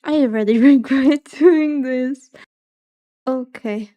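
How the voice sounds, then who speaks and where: A soft-voiced woman livestreamer chatting casually in a relaxed, wry way as she reacts and muses aloud. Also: high voice, slow, highs cut off. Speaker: livestreamer, vtuber.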